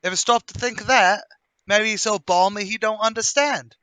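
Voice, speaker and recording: A man's voice: high-pitched, moderate pace, sharp tone, clear, resonant tone, no room sound. A man voicing a chatty, plain-spoken young working-class man in a radio mystery drama. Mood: musing. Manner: colloquial, folksy, chatty.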